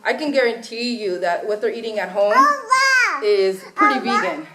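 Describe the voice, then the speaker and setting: A woman's voice: medium pitch, lively intonation, moderate pace. Earnest woman panelist from Los Angeles. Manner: earnest, emphatic, with filler ums. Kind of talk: panel discussion answer. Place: conference hall. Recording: echoey hall with clear noise.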